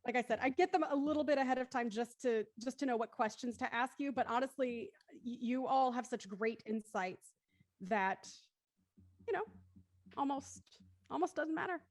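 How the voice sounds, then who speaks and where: High-voiced woman hosting an online show. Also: moderate pace, no room sound, studio quality. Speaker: host of an online radio drama after-show. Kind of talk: casual hosting, audience sign-off.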